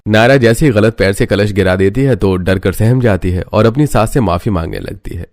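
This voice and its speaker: A man with a low voice narrates a family cartoon story. The delivery is scripted storytelling. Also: fairly quick, darkish tone.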